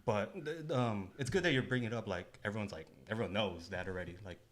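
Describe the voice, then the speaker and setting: A man's voice: fairly low pitch, quick pace, fairly bright tone. A man co-hosting a podcast in casual chat, talking informally with slang and casual swearing. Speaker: podcast co-host.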